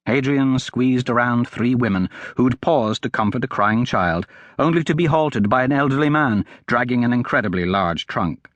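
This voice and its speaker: A man reading a fantasy audiobook as scripted narration, in a measured, dramatic storytelling manner. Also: medium pitch, no echo, lo-fi sound.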